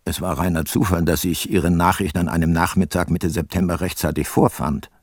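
An actor playing a reflective, weary private detective in a radio drama, measured and laconic. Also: male voice, sits low, fairly even intonation, breathy edge, no room sound, studio quality. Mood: reflective, wry. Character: weary, reflective male private detective narrating his case. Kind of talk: scripted narration in a radio drama.

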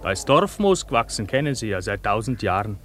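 An actor playing a brisk, skeptical moorland inspector in a radio drama. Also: male voice, fairly low pitch, sing-song, fairly bright tone, a little hiss or hum. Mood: matter-of-fact, skeptical. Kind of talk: German radio drama acting. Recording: faint outdoor ambience with crows.

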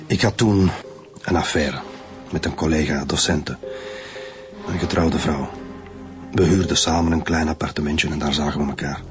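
A bitter, articulate Flemish murderer making his confession in a radio play. His voice is very low, with music playing behind it. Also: fairly even intonation, normal speed. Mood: bitter, candid. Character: male guest lecturer turned murderer, confessing, articulate and bitter. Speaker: actor. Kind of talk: radio drama acting.

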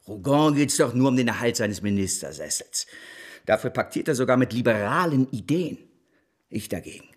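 An actor playing an irascible, domineering newspaper boss and schemer in a German radio drama, with a husky, declamatory delivery. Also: male voice, mid-range voice. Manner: imperious, declamatory.

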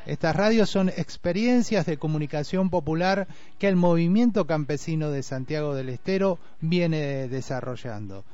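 A man's voice: a little high, expressive, normal speed, a little mellow, no room sound. An Argentine man presenting a community radio programme from partly scripted notes. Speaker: radio host. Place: radio studio. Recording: dull radio audio with music bed.

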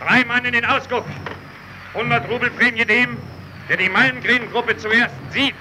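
Gruff soviet ship captain, short orders, fairly high voice, noisy old radio drama. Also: male voice, fairly even intonation, a little slow. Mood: commanding. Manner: terse, commanding. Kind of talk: German radio play acting.

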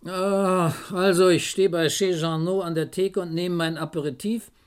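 An actor playing a cheeky, eager junior detective in a German crime radio play, with a jaunty, wry way of reporting. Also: male voice, high-pitched, fairly even intonation, measured pace, clear, resonant tone. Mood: wry, pleased. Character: cheeky, eager male police detective, the chief's subordinate.

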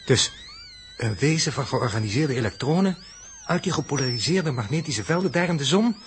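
An actor playing a sober, analytical spaceship scientist who explains things in measured, precise 1960s Dutch radio drama style. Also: male voice, mid-range voice, lively intonation, lightly acted. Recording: old noisy 1960s radio recording.

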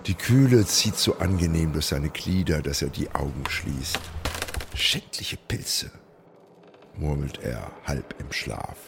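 An actor in a German radio play playing a commanding landlord who also narrates in a literary, dramatic style. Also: male voice, animated, slow, creaky, noisy background, exaggerated voice. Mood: grave narrating. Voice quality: low-pitched. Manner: dramatic, varied delivery.

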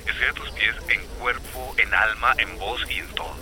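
An actor playing a smooth-talking, lovestruck man with a Colombian accent from Bogotá, flirting intimately in a radio drama. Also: mid-range voice, normal speed, crowd noise, close to an everyday voice. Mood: flirtatious, smitten. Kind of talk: radio drama acting, intimate phone conversation.

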